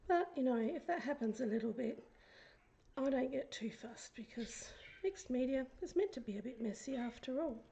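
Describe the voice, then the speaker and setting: A woman with a croaky, hoarse voice, chatting casually through a craft tutorial and often dropping into a whisper as she works. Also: sits high, animated, normal speed, mellow, dull audio. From Australia. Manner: chatty, often drops to a whisper while working. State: hoarse, recovering from illness. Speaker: craft vlogger. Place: craft desk indoors, fan running.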